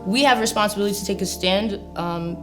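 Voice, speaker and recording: A young American woman speaks in a casual group discussion. Her pitch is average and her phrasing conversational. Music plays clearly behind her. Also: fast talker, fairly bright tone.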